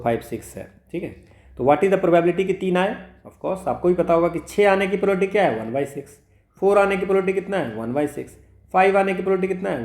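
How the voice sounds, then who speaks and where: Male math tutor, fairly high voice, self-questioning explainer, roomy echo. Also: a little mellow. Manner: mixes Hindi and English, asks and answers his own questions. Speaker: exam prep math teacher. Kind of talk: solving exam problems step by step. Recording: echoey room.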